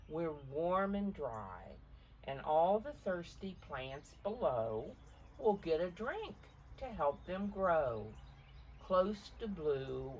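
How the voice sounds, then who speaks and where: A woman reading a picture book aloud to young children, expressively, pausing to explain the pictures in simple words. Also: low voice, slight background noise, poor audio. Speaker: storybook reader for preschoolers.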